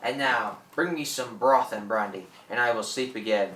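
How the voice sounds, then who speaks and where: An actor as a gloating old pirate, roomy sound. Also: male voice, medium pitch, moderate pace, fairly bright tone, close to an everyday voice. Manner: theatrical, gloating, cackling. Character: boastful old French pirate, greedy and menacing, newly revived. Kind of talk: radio play acting. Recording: roomy, distant microphone.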